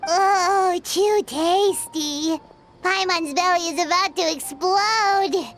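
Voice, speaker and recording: A woman voicing a small, excitable, childlike fairy companion in a video game, squeaky and cutesy. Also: slow pace, faint music behind, exaggerated voice. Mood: amazed, satisfied.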